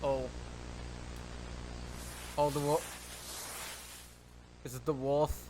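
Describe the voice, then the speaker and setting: A man's voice: a little high, flat delivery, very slow, background noise. A man hosting a reaction video, commenting casually and informally with short exclamations and asides.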